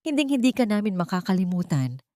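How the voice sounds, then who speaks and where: A woman's voice: mid-range voice, very animated, fast, dark tone, dry recording, studio quality, lightly acted. A woman voicing a loyal, grateful female restaurant server in an audio drama, earnest and respectful.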